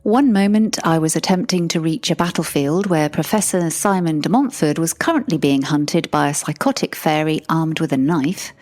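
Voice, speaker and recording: A woman with a low voice narrates a comic audio drama in the first person. Her storytelling is dry and sardonic, delivered as the narrator living through the tale. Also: lively intonation, normal speed, hardly any pauses, a little mellow, faint music behind.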